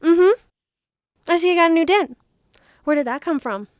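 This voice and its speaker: A woman's voice: very high-pitched, normal speed, no room sound, studio quality. A woman playing a blunt, skeptical murder investigator in an audio drama, questioning in a sardonic way. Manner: sardonic, interrogating.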